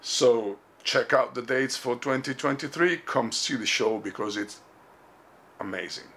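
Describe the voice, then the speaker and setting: A man's voice: mid-range voice, unhurried, a bit bright. A middle-aged man, a musician, talking casually to camera as he presents a show. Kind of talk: casual vlog talk to camera. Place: small room indoors.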